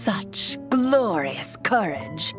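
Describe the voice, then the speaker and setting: Slow, pompous villain overlord put on by a woman. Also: on the low side, big pitch swings, pitched below the performer's own voice, heavily acted. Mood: ominous, taunting. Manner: slow, grandiose, mock-ominous. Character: menacing, pompous overlord villain taunting a challenger. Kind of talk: video game villain monologue. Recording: music behind the voice.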